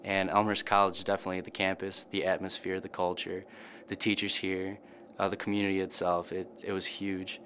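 A man's voice: low-pitched, completely flat delivery, a little fast, a bit bright, slight background noise. A young man, a college student, giving an interview testimonial. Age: young adult.

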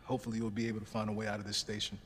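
An actor playing a wounded middle-aged male police lieutenant, gruff, weary and commanding. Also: on the low side, completely flat delivery, racing, a bit bright, close to an everyday voice. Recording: reverberant game audio with faint noise.

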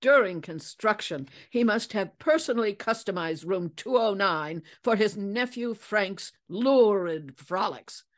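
A woman's voice: mid-range voice, lively intonation, a little slow, hardly any pauses, voice pushed up, natural voice. A woman voicing a proud, blustering male hotel keeper in a storybook, full of exclamations and colorful slang. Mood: exclaiming.

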